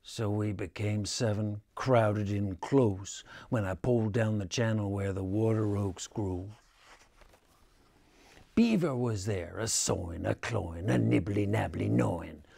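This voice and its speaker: An older man reading a children's picture book aloud with a theatrical, drawn-out delivery. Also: fairly low pitch, creaky, warm tone, no echo. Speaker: storybook reader. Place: small furnished room indoors.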